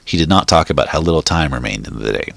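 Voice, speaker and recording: A man reading a short story aloud in a steady, measured way, with the odd small slip. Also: very deep voice, vocal fry, no room sound. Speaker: reader.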